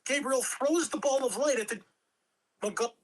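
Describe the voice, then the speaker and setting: A man's voice: extremely high pitch, moderate pace. A man reading stage directions as narrator, in scripted dramatic narration. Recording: amateur home recording.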